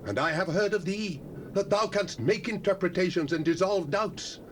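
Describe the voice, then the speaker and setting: Proud, uneasy king, voiced by a man, formal delivery, fairly high pitch, faint hiss. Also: moderate pace, close to an everyday voice. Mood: troubled. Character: King Belshazzar, proud, troubled king. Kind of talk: dramatized scripture reading. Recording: cassette recording with faint hiss.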